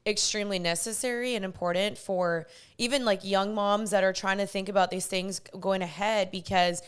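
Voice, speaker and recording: Podcast host: young woman in her twenties, smooth clear voice, bubbly and chatty. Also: mid-range voice, fairly even intonation, normal speed. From United States. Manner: bubbly, chatty, uses lots of "like". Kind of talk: casual podcast chat and intro.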